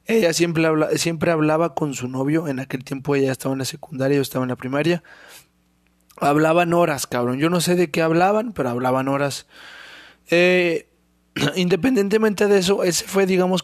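A young Mexican man rambles through a solo podcast with a sore, hoarse throat, clearing it again and again. His voice sits a little high for a man, and he curses casually. The sound is dry and close, with no room around it. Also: moderate pace, no echo. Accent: Mexican Spanish. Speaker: podcast host.

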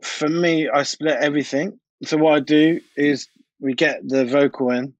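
A man's voice: fairly high pitch, steady tone, normal speed, no echo, dull audio. A British man talking casually and anecdotally as a DJ and producer being interviewed, in informal, colloquial speech. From United Kingdom. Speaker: interview guest, DJ and music producer.

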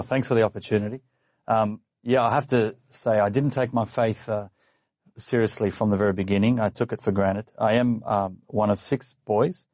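A man, a young adult podcast guest, telling his life story in a relaxed way with lots of fillers and small laughs. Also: fairly low pitch, no echo, clean studio sound. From Australia. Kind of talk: casual interview chat. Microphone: studio microphone.

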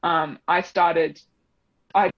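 A woman's voice: lowish voice, fairly even intonation, extremely slow, dry recording. A young woman with a blended Australian and English accent, chatting as an interview guest with lots of fillers and laughs. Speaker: guest, double bass player. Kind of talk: casual interview chat. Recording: dull, slightly muffled dry audio.

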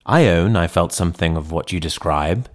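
A man reading the part of a sober, rational gentleman lawyer in an audiobook, in a measured, formal manner. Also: deep voice, lightly acted. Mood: frank, serious.

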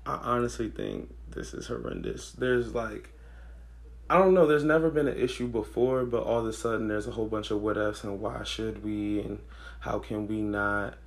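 A hoarse young man with a raspy voice, co-hosting a podcast in slangy casual chat. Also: lowish voice, normal speed. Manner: relaxed, slangy, thinks aloud with fillers. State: hoarse, losing his voice. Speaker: podcast co-host.

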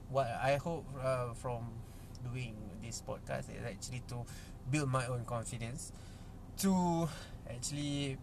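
A young Malaysian man, a native Malay speaker, hosting a casual solo podcast in English, halting with many fillers and restarts. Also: on the high side, a little hiss or hum. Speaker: podcast host.

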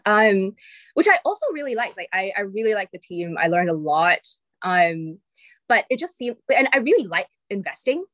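A woman's voice: mid-range voice, very animated, normal speed, fairly bright tone, no echo. A woman in her thirties from Singapore, with an accent shifting between Singaporean and American English, chatting casually as an interview guest with lots of ums and likes. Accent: mix of Singaporean and American English. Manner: chatty, many fillers like um and like. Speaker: venture capital partner, guest.

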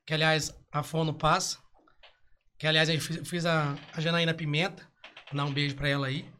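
A man who sings in a duo, chatting casually and using relaxed slang in an interview. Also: fairly high pitch, moderate pace, clean tone. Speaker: singer in a duo.

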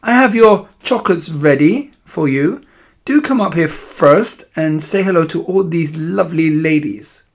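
A man voicing a theatrical, gloating villainess witch in an audiobook, with slow, drawn-out, relishing delivery. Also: fairly high pitch, slightly rough, exaggerated voice. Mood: syrupy, coaxing. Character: Grand High Witch, gloating theatrical villainess, syrupy then shrieking. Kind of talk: audiobook character voice.

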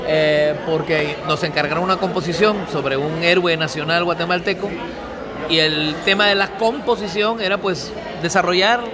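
A man's voice: a little high, steady tone, moderate pace, a crowd in the background. A middle-aged man, a singer-songwriter being interviewed, telling stories casually with slang and frequent laughter. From Mexico.

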